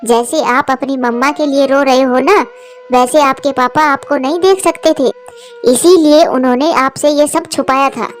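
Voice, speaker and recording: A woman voicing a gentle, loving mother bird with a patient, soothing manner in a cartoon story. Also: high-pitched, steady tone, moderate pace, close to an everyday voice. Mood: tender, reassuring. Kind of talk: Hindi cartoon story acting.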